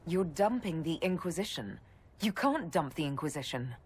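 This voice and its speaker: A woman's voice: low voice, big pitch swings, normal speed, slightly rough, heavily acted. An actress playing a direct, dryly wry female fantasy hero and leader in a video game, plainspoken and brief. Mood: wry, firm.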